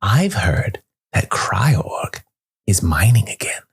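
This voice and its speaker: A man voicing a small, clever furred woodland creature in a storybook tale, slow and hushed, warning cryptically in a breathy rasp. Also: on the low side, sing-song, voice pushed up, exaggerated voice. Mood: hushed, ominous.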